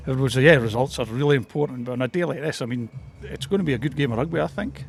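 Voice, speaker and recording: Gravelly Scottish Borders man, rambling interviewee. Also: medium pitch, racing. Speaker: rugby club interviewee. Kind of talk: casual spoken interview.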